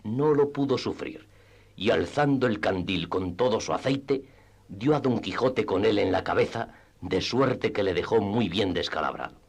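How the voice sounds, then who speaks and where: A man's voice: lowish voice, animated, moderate pace, breathy edge. A man narrating a classic literary tale for radio theatre in a scripted storytelling style.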